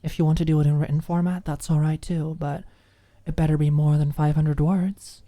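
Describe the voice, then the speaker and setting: A woman's voice: low-pitched, flat delivery, a little fast, mellow, natural voice. A woman voicing a cocky, confrontational college woman in an audiobook, taunting, smug and sarcastic. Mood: challenging.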